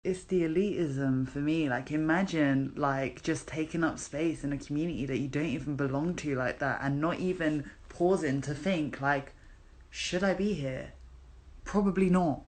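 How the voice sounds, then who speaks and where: British female, deep register, impassioned sarcastic ranting, blunt and sweary. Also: normal speed, darkish tone. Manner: sweary, sarcastic, repeats words for emphasis. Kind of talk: impassioned informal rant.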